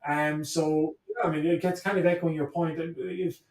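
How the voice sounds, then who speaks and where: Guy, kinda high voice, thinking out loud with lots of stops, roomy echo. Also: little pitch movement. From Ireland (Cork). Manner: thoughtful, halting, searching for words. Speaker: guest, history professor. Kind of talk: reflective conversational interview. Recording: echoey room, distant from mic.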